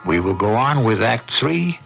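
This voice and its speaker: A man hosting a radio mystery drama, narrating in a deliberate, portentous, dramatic scripted style. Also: medium pitch, sing-song, vocal fry, fairly bright tone. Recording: muffled lo-fi radio broadcast. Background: prominent music.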